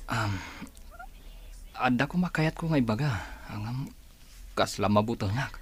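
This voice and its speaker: An actor playing a hesitant, earnest man in an Ilocano village radio drama, with a husky voice. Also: mid-range voice, animated, measured pace, faint music behind, natural voice. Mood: hesitant, shy.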